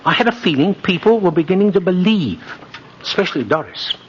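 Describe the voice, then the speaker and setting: A man's voice: high-pitched, expressive, a little fast. Spirited old man, muffled vintage radio. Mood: earnest, disillusioned. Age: older. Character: kindly, spirited old man claiming to be Santa Claus. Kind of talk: 1950s radio drama acting. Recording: muffled old radio broadcast, faint noise.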